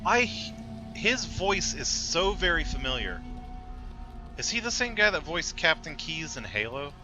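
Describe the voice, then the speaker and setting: A man's voice: on the high side, sing-song, unhurried, over music. A man giving casual commentary as he plays a video game, musing aloud to his viewers.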